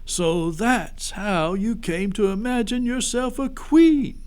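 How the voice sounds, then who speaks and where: A man voicing a cheeky, indignant male beaver in a storybook, speaking with drawn-out scorn. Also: high-pitched, darkish tone, clean tone, pitched above the performer's own voice. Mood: scornful indignation.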